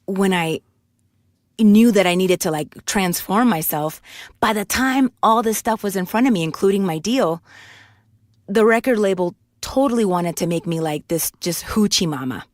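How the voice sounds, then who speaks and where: A woman's voice: mid-range voice, normal speed, a little mellow, dry recording, clean studio sound. A woman in her forties from Puerto Rico, telling her life story candidly in a casual interview, with many restarts. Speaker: guest voice actor and singer.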